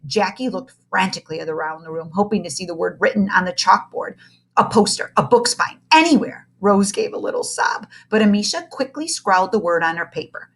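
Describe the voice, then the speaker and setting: A woman reading a children's story aloud in an expressive, dramatic way, stretching key words. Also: mid-range voice, brisk. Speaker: read-aloud narrator.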